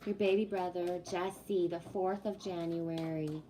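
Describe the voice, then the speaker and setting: A woman's voice: on the low side, fairly even intonation, unhurried, warm tone, a little hiss or hum, low-quality recording. Chatty woman in her fifties. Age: 50s. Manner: chatty, greets viewers, spiritual talk. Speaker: livestream host. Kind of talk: casual livestream chat.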